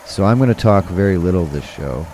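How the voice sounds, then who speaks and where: A man hosting a casual podcast chat. His voice is going hoarse, and he talks in an excitable, rambling, profane way. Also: low-pitched, fairly quick, slight background noise. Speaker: podcast host.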